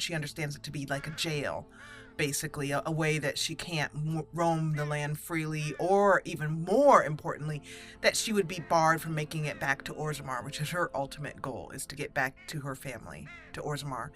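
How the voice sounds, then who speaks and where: A woman's voice: sits low, a bit bright. A woman giving casual, chatty commentary as she plays and roleplays a game, talking to the characters. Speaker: host of a roleplay game playthrough.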